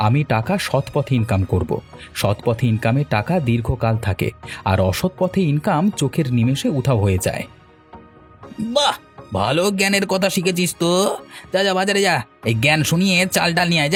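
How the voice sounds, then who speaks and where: Man as an emphatic, higher-voiced cartoon villager. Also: fairly bright tone. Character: male villagers in a cartoon, bantering and quarrelling. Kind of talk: Bengali cartoon voice acting. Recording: faint music behind the voice.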